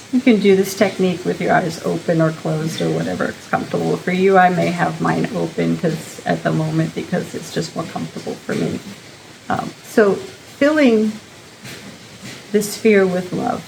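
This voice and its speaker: Female meditation guide, gentle and unhurried, informal instruction, noticeable vocal fry, light ambient noise. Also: on the low side, low-quality recording. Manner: gentle, unhurried, pausing between short phrases.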